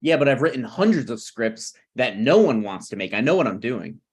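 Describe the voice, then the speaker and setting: A man playing a brazen, scheming slacker screenwriter in a table read of a TV pilot, blunt and presumptuous with halting phrasing. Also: medium pitch, sing-song, natural voice. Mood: blunt, self-assured.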